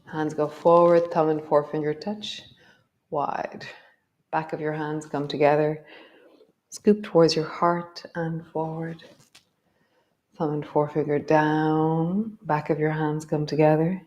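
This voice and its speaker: A middle-aged woman, gently guiding a yoga class with a slightly stuffy nose. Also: low voice, level tone, lo-fi sound. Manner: gentle, encouraging, drawn-out cues. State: stuffy nose from a coming cold. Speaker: yoga teacher. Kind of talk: guided yoga class instruction.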